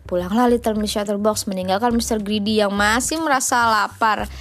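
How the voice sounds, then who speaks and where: A woman's voice: medium pitch, fairly even intonation, a little fast, a bit bright. An Indonesian woman reading a children's storybook aloud as a mother, expressively and with informal asides. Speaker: mother reading a bedtime story, podcast host.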